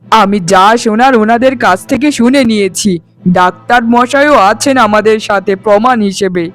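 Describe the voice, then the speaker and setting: A man's voice: high-pitched, normal speed, a bit bright, faint music behind. A man voicing a stern, righteous policeman in a cartoon, with a declamatory way of speaking. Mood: stern, accusing. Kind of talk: cartoon storytelling dialogue.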